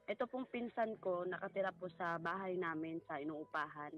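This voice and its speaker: A woman with a Filipino accent giving a personal account in a halting, polite way, as a guest in conversation. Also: fairly low pitch, highs cut off. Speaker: guest recounting a family story. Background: music.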